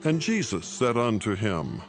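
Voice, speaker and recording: A man narrating scripture in a solemn, measured and formal reading style. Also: lowish voice, wildly swooping pitch, soft background music.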